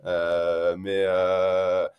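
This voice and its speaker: A man who is a guest SEO consultant, talking in a relaxed, hesitant way with many fillers. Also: deep voice, drawn-out words, clear, resonant tone. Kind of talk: casual podcast chat.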